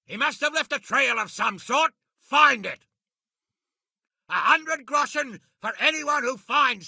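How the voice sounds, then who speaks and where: A man voicing a gruff, blunt, hot-tempered medieval lord and military leader in a video game, with a raspy, commanding delivery. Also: very high voice, bright, forceful tone, dry recording, clean studio sound, exaggerated voice. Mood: shouting commands. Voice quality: gravelly, raspy. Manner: blunt, commanding, coarse-tongued. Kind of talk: scripted game dialogue acting.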